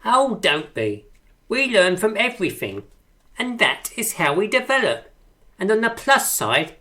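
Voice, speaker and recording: An actress playing the brisk, pretentious leader of a poetry circle in a radio drama, grandly articulate and theatrical. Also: female voice, lowish voice, a bit bright. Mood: earnest, pompous.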